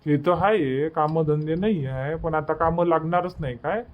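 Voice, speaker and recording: Man playing high-voiced, impatient Vidarbha family man. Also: moderate pace, muffled recording, slight background noise, lightly acted. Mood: matter-of-fact. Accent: Marathi of Vidarbha. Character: young village family man, impatient, bossy. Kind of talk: cartoon comedy dub.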